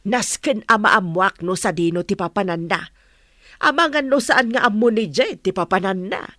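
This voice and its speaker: A woman's voice: medium pitch, moderate pace, fairly bright tone, dry recording, studio quality, close to an everyday voice. A middle-aged woman with an Ilocano accent playing a nosy, insistent village mother in a radio drama. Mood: insistent, puzzled.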